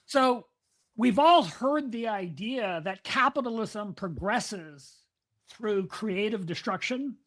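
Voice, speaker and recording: A woman's voice: medium pitch, animated, slow pace, a bit bright. A woman co-hosting a podcast in casual discussion, explaining ideas at length and drifting into side topics. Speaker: podcast co-host.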